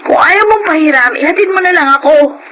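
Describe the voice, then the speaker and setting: A woman's voice: very high voice, animated, a little fast. A woman playing a headstrong, emotional young daughter in a Filipino radio drama, snappy and defiant. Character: headstrong, anguished young daughter seeking her father. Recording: noisy radio drama audio.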